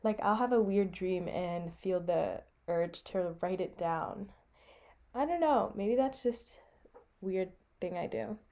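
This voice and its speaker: A woman's voice: medium pitch, slow, dark tone. A young American woman, about eighteen, chatting casually as a podcast co-host. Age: young adult, about eighteen. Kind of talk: casual chat.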